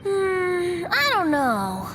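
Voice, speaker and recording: A woman's voice: very high voice, sing-song, very slow, music in the background, exaggerated voice. A woman voicing a whimsical, boyish young male bard in a video game, playful and theatrical. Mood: coy.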